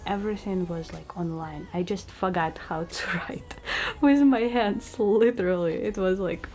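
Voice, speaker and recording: A young woman with a Russian accent, a native Russian speaker, narrating a casual lifestyle vlog in a chatty, relaxed way with small slips in English grammar. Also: on the low side, normal speed. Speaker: lifestyle vlogger.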